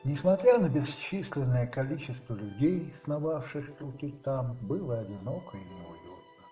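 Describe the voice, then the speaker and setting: A man narrating a radio play as dramatic literary storytelling, musing and rhetorical, with dramatic pauses. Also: mid-range voice, animated. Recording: roomy, slightly muffled, with music behind.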